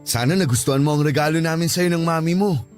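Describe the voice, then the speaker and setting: A man's voice: on the high side, quick pace, faint music behind, close to an everyday voice. An actor playing an earnest young husband and father with a Filipino accent in a radio drama. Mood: affectionate. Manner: earnest, emotive.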